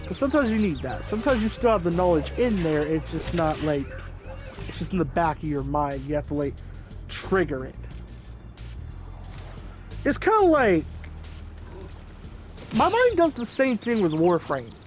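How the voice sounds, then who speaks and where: A man's voice: fairly high pitch, very animated, moderate pace, long pauses. A young man hosting a gaming video, rambling in casual commentary full of "like" fillers. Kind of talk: casual let's-play commentary. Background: faint game music.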